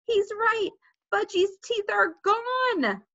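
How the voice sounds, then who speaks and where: An alarmed young boy from a children's story, played by a woman reader. He talks urgently and bluntly in a very high voice. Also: animated, measured pace, a bit bright, muffled recording, exaggerated voice. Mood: insistent. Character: school-age boy, alarmed, matter-of-fact friend.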